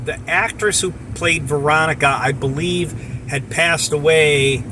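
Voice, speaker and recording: A middle-aged man casually sharing personal memories in a reflective, halting way, in short phrases. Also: on the high side, noisy background. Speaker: storyteller, amateur voice actor.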